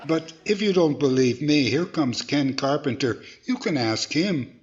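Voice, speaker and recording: A patient straight man played by an actor in a 1940s radio comedy. His fairly high voice is mild and reassuring with very lively intonation, in slightly dull lo-fi sound. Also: a little slow, slight background noise. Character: patient, reasonable male ventriloquist playing straight man to dummy.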